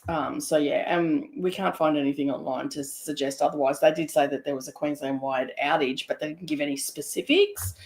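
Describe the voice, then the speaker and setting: Croaky-voiced woman, rambling chat. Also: low-pitched, fairly quick, few pauses, a little mellow. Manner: rambling, chatty, many fillers. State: hoarse. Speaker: craft live-streamer host. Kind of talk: casual chat live stream. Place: home craft studio.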